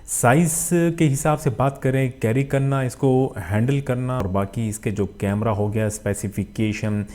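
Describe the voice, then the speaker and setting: A man's voice: medium pitch, steady tone, measured pace, darkish tone. A man with a Pakistani accent narrating a casual phone review as a channel host, often using filler phrases and mixing in English tech terms.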